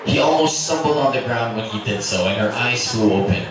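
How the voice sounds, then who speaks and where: Guy reading a love story out loud, narrator style, really echoey and far from the mic. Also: mid-range voice, a little fast. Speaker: narrator, reader at a literary festival. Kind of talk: dramatic scripted narration of a romance novel. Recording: echoey, noisy room from far off.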